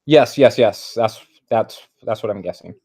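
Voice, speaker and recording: A man's voice: fairly low pitch, unhurried, darkish tone, husky. A man co-commentating on basketball in an informal, conversational way with short reactions.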